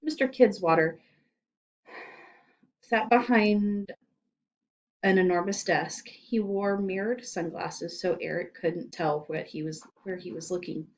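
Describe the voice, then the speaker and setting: A woman's voice: fairly low pitch, slow, long pauses. A woman narrating a children's storybook expressively, in a dull lo-fi recording. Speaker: story reader.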